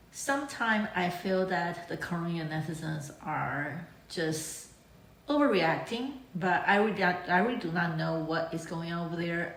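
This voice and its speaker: Female reaction host, lower register, casual and enthusiastic fan energy. Roomy, echoey sound. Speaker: reaction video channel host. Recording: echoey room.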